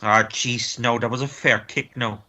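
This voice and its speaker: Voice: medium pitch, fairly even intonation, a little slow, bright, forceful tone, lo-fi sound. An actor playing a curious, easygoing Irish man who gives bemused commentary in a comic radio play. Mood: concerned.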